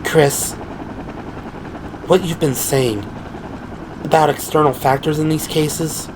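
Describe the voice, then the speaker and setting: A guarded young policewoman, acted out by a man, speaks in a careful, confiding hush. The pitch sits a little high, and clear background noise runs under this audio drama performance. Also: steady tone, slow pace. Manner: hushed, cautious, confiding. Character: young woman police officer, guarded, quietly anxious.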